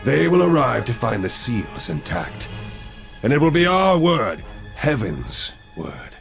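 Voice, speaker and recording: A man voicing a zealous angel leader in a video game, slow, forceful and persuasive. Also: medium pitch, wildly swooping pitch, gravelly, faint music behind, exaggerated voice. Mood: resolute. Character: zealous commanding male angel leader, proud. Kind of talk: video game cutscene acting. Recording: game score under the voice.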